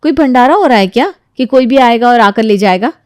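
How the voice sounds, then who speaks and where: A woman puts on a combative sister-in-law for a story. She scolds scornfully and sarcastically, pushed well up in pitch, with dry close sound. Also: animated, fairly quick, no room sound, natural voice. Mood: outraged. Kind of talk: Hindi audio story character acting.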